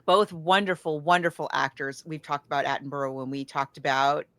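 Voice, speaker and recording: A woman's voice: sits low, a little fast, fairly bright tone. A woman co-hosting a podcast, chatting casually about films in a chatty, emphatic way. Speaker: podcast co-host. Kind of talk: casual film discussion chat.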